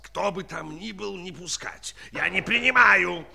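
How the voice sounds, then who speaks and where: A man playing a fussy, excitable institute director in a children's audio play, politely hesitant in his talk. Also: high-pitched, a little slow, creaky, noisy background, exaggerated voice. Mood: brusque, dismissive. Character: fussy male director of a research institute of numbers.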